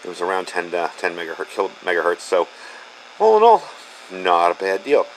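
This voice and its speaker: A man's voice: deep voice, huge pitch swings, brisk, a little hiss or hum, poor audio. A man giving a casual product review in a conversational, unscripted, matter-of-fact way.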